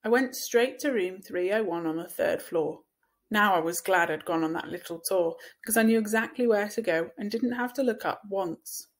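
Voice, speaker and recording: A woman reading a children's novel aloud as first-person scripted narration, like a teacher reading to pupils. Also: medium pitch.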